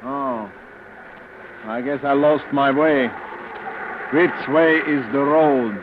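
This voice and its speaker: Actor as a wary crook, noisy old radio. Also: male voice, medium pitch, slow, lightly acted. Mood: guarded. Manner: terse, suspicious. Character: wary, menacing crook coming to collect a payoff. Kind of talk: 1940s radio crime drama acting. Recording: muffled, noisy vintage radio recording.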